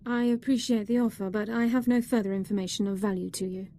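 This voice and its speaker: An actress playing a dutiful, formal young military medic in a video game, speaking precisely and deferentially. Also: female voice, mid-range voice, steady tone, quick pace, dark tone, slight background noise, lightly acted. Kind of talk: video game dialogue acting.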